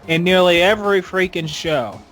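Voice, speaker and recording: A man's voice: high voice, normal speed, a bit bright, soft background music. A man chatting casually on a fan podcast, opinionated and given to ranting. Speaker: podcast host.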